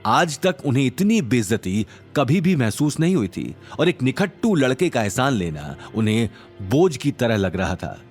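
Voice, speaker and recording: Expressive male story narrator with lively pitch. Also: normal speed, faint music behind. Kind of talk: dramatic Hindi story narration.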